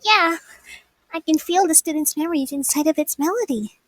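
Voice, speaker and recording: A woman playing a dreamy, whimsical teenage schoolgirl with magic powers in a comic fandub, wistful and gentle. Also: sits high, a little fast, no echo, poor audio. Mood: dreamy, playful.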